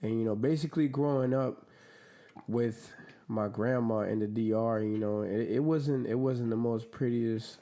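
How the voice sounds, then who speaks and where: A man's voice: mid-range voice, fairly even intonation, normal speed, dark tone. A man from the Dominican Republic, a podcast guest talking in a laid-back, slangy way with many fillers. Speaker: podcast guest, clothing brand owner. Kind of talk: casual interview chat.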